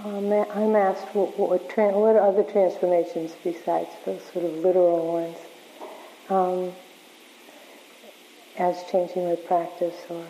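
A woman leading a workshop, answering questions in a halting way with many restarts, her voice wearing thin. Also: fairly low pitch, level tone, mellow, a little hiss or hum. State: losing her voice. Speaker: workshop leader. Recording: echoey hall, dull lo-fi sound.

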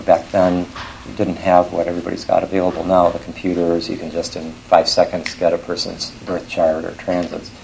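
An older man from Switzerland giving an academic lecture in a scholarly, halting way, often correcting himself mid-phrase. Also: low voice, vocal fry, a little mellow, a little hiss or hum, rough recording.

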